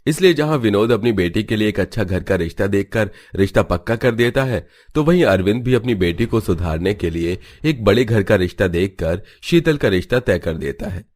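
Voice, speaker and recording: A man's voice: fairly low pitch, expressive, moderate pace, few pauses, a little mellow. A man giving scripted storytelling narration for a cartoon.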